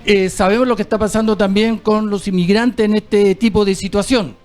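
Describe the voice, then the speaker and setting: Presentador chileno de voz aguda. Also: male voice, high-pitched, steady tone, moderate pace, darkish tone, soft background music. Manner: conversational, slightly hesitant. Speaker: host. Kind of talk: moderating a political panel.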